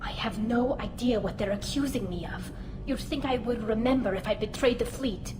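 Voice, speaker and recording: A woman's voice: on the high side, normal speed, background noise. Earnest young alien woman in echoing helmet. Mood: worried, sincere. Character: earnest young female alien Quarian engineer, loyal and anxious. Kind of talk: video game voice acting. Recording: roomy game audio with music behind.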